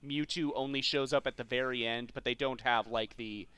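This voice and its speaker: A man's voice: mid-range voice, steady tone, moderate pace, pushed and bright, smooth, no room sound. A man co-hosting a podcast, talking casually and explaining things in a conversational way. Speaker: podcast co-host. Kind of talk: casual film discussion.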